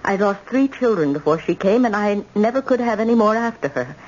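A woman's voice: mid-range voice, animated, fairly quick, darkish tone, natural voice. An actress playing a tough, proud older grandmother in an old-time radio drama, with dramatic, emphatic delivery. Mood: grave, confiding. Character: tough, proud widowed grandmother confessing family tragedy. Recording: muffled, noisy old radio recording.

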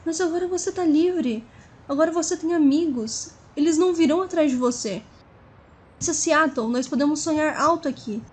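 A woman's voice: sits high, moderate pace, a little mellow, close to an everyday voice. A woman acting in an audio drama as an earnest, hopeful friend who persuades and pleads. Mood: pleading, hopeful.

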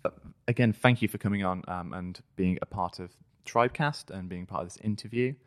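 A podcast host with a very low voice who asks questions in a loose, casual way, with frequent ums. The sound is close and dry. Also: brisk, dry recording. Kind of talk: casual interview.

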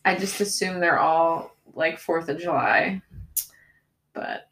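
A woman's voice: low-pitched, slow pace, fairly bright tone. A woman co-hosting a podcast in casual chat, hesitant and self-deprecating, often breaking into laughter. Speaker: podcast co-host.

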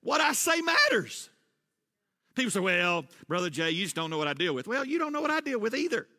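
A middle-aged pastor preaches in a high, bright voice that climbs into loud, hammering refrains. His sermon rings out in a church hall with a long, lingering reverb. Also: sing-song, fairly quick. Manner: preaching with emphatic rises, loud repeated refrains. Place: church sanctuary.

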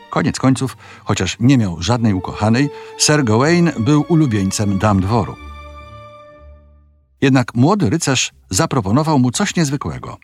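A male narrator tells a fairy tale with very varied intonation and a medium pitch. His delivery is measured and dramatic, with soft music behind the voice. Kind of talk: scripted fairy-tale storytelling.

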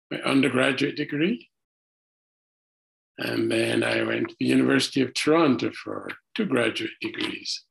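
Retired professor in his seventies from Barbados, gravelly, measured and pausing. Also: mid-range voice, low-quality recording. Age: 70s. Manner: measured, pauses with frequent "uh". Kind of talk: casual interview.

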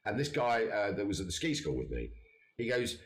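A man's voice: lowish voice, wildly swooping pitch, brisk. A man with an English accent chatting casually with friends, laughing often as he talks. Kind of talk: casual banter.

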